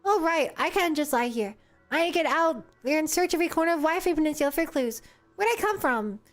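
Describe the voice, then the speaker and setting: Woman voicing a naive, high-pitched little robot. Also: lively intonation, fairly quick, soft background music. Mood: earnest, eager. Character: forlorn, empty-headed little robot helper, naive and eager.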